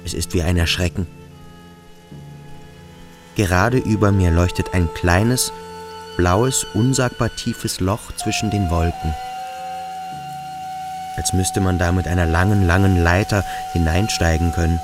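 An actor playing a brooding, troubled schoolboy, thinking aloud intensely in a literary radio play. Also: male voice, deep voice, measured pace, pauses often, over loud music. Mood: awed, searching.

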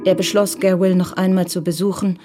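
A woman giving a sober, measured scripted narration for a crime radio drama. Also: on the low side, level tone. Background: music.

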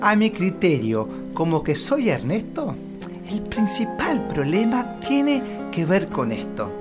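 A woman's voice: mid-range voice, sing-song, slow, over loud music. A woman voicing a self-important, long-winded male politician who talks in technical jargon, with an Argentine accent. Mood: pompous, firm. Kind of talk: political satire radio sketch.

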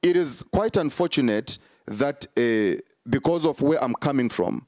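A man's voice: medium pitch, expressive, a little slow, darkish tone, dry recording, studio quality. A man, a prophet answering interview questions in an emphatic, repetitive preaching cadence with many fillers.

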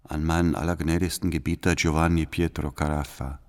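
An actor playing a devout, calculating church spy in a radio drama, reading out his reports in a formal, deliberate, deferential manner. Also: very deep voice, level tone, a little mellow. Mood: deferential, watchful. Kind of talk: radio drama letter monologue. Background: faint music.

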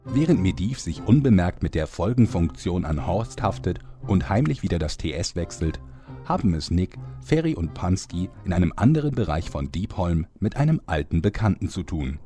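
A man's voice: deep voice, sing-song, moderate pace, dark tone, soft background music. A man narrating a fantasy parody audio drama as a scripted storyteller. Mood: storytelling.

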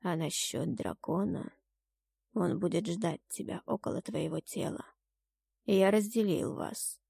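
A woman voicing a solemn male god in a fantasy audiobook, grave, measured and commanding. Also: lowish voice, vocal fry, dark tone, slightly rough, no room sound, studio quality, pitched below the performer's own voice, heavily acted. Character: stern, solemn male god pronouncing grim destiny. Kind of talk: dramatic fantasy audiobook acting.

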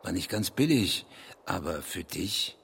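An actor in a literary radio drama playing a restless, gruff, evasive East German poet with terse phrasing. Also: male voice, low-pitched, lively intonation, unhurried, a bit bright, a little hiss or hum, heavily acted. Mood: restless, eager.

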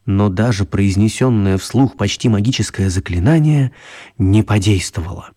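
A man narrating a children's radio story expressively, with theatrical emphasis and drawn-out words. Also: fairly low pitch, dark tone, slightly rough.

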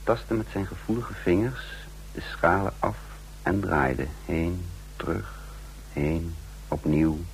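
A deep clipped mission boss in a radio play, careful and in command, faint noise behind. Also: male voice, a little mellow, rough recording. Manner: terse, clipped, controlled. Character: commanding, careful male chief of covert break-in team. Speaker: narrator and lead character. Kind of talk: radio drama acting and narration.

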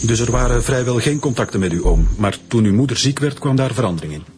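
A man's voice: mid-range voice, lively intonation, fast, natural voice. A man playing a stern, insistent police chief in a radio drama, speaking formally with a Flemish accent. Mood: businesslike. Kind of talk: radio drama acting in Dutch. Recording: music behind the voice.

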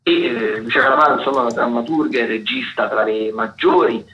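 A man hosting a radio show, reading out cultural listings in a halting, digressive, self-correcting way. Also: mid-range voice. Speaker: radio host. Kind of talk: unscripted radio listings of theatre and dance events. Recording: roomy, dull lo-fi radio sound, faint noise.

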